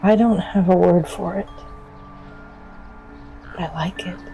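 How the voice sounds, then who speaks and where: A wild, wary young woman muses in a dark, mellow voice, slowly and haltingly. Her hushed, reflective delivery has plenty of fry, suited to an intimate urban fantasy audio monologue. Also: on the low side, steady tone, faint music behind. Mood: tender wonder.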